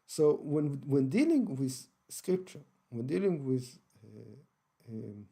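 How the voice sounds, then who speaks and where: Husky, gravelly Israeli man. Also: mid-range voice, lively intonation, takes it slowly, mellow. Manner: careful, hesitant, many restarts and fillers. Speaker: channel host. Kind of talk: unscripted explanatory talk.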